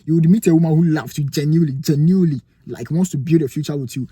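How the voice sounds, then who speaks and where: A man's voice: on the high side, fast, mellow, husky, no room sound, clean studio sound. A young man with a Ghanaian accent giving emphatic motivational advice to camera, asking rhetorical questions, indoors in a cold room. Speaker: vlogger giving life advice.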